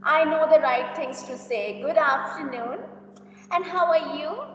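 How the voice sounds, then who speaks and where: A woman puts on an eager young daughter, insistent and stubborn, in a reader's theater play. The voice is high and slow, heard from far off in a very echoey room. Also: fairly bright tone. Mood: insistent, pleading. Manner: insistent, pleading. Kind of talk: classroom reader's theater dialogue. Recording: distant, dull, echoey room with noise.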